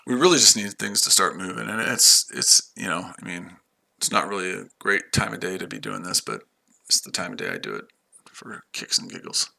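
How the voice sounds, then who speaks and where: A man's voice: low voice, animated, brisk, creaky, few pauses, pushed and bright. An American man casually chatting on a livestream while trading, rambling and talking to his viewers. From United States. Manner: rambling, repeats himself, chats with viewers. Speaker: livestream trader.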